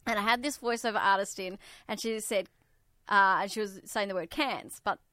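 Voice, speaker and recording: A woman's voice: medium pitch, normal speed, pushed and bright, dry recording. A woman co-hosting a radio show, teasing in a dry, matter-of-fact way. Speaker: radio co-host. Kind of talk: casual on-air banter. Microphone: studio microphone.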